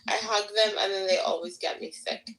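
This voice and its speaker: A woman's voice: medium pitch, fairly even intonation, fairly quick, a bit bright, rough recording. A woman who is losing her voice, chatting casually in a group discussion. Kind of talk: casual online group talk.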